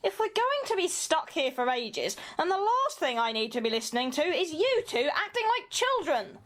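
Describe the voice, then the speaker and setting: A woman plays a conciliatory, reasonable peacemaker in a radio play. Her voice is extremely high and lively, earnest and a touch exasperated. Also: normal speed, exaggerated voice. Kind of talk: amateur radio play acting.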